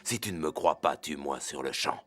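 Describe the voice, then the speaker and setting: A man's voice: extremely low pitch, normal speed, fairly bright tone. A man voicing a proud, earnest lynx warrior in a cartoon, speaking in a grave, formal and pleading way. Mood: solemn, pleading.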